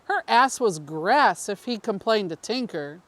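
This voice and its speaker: A woman's voice: medium pitch, lively intonation, measured pace, no room sound. A woman reading a scripted story aloud as its narrator. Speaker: story reader.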